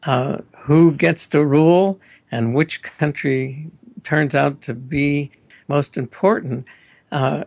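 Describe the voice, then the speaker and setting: A man's voice: medium pitch, no room sound. A man hosting a radio interview, asking questions in a chatty, enthusiastic way with frequent uhs.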